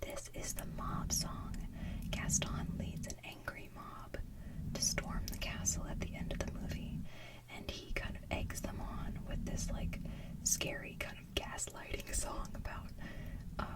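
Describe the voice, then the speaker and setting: A woman's voice: far from the mic, low-quality recording. Whispering woman, casual ASMR chat. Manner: giggly, chatty asides. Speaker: ASMR channel host and singer.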